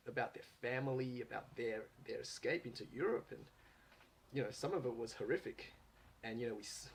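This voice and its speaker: A man in his late twenties tells his own travel adventures in a casual video pitch. He speaks at a middle pitch, earnest and friendly, often pausing on fillers and restarting his sentences. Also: low-quality recording. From Australia. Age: 20s. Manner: earnest and friendly, with frequent fillers and self-corrections. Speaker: applicant pitching himself as a travel host.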